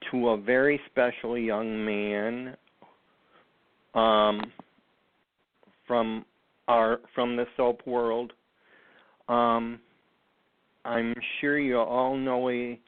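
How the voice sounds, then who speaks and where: Slow, halting man on a phone line. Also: medium pitch, no room sound. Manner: slow, halting, many ums. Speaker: host. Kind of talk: casual radio chat. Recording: tinny phone line, dry.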